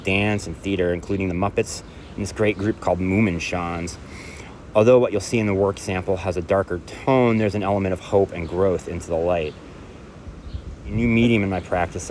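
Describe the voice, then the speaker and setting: Deep-voiced man reading an application. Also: a little hiss or hum. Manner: earnest and measured, with occasional self-corrections. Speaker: composer and performance artist. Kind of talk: reading a festival application aloud.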